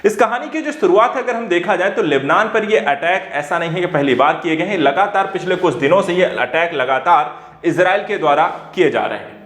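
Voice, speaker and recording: A man teaches. He explains current affairs emphatically, circling back over his points like a coaching instructor. The room around him rings with a clear echo. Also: high voice, lively intonation, fairly quick, a bit bright. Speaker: teacher at an exam coaching academy. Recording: echoey room.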